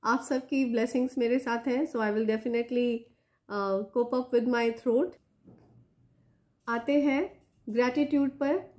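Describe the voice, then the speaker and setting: A woman with an Indian accent speaks in a somewhat high voice that is a little hoarse from a sore throat. She addresses her viewers directly as a spiritual reader, offering gentle blessings and often checking in with short tags. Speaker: spiritual reader and channel host. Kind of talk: spiritual reading addressed to viewers, Hindi mixed with English.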